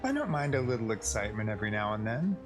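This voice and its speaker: Young hero: calm, easygoing, measured game dialogue over clear music. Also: male voice, fairly low pitch. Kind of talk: anime video game voice acting.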